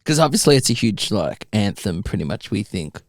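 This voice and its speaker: A man's voice: fairly low pitch, big pitch swings. An Australian man co-hosting a podcast, giggly and easygoing in casual chat. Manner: giggly, unhurried, swooping laughs. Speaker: podcast co-host.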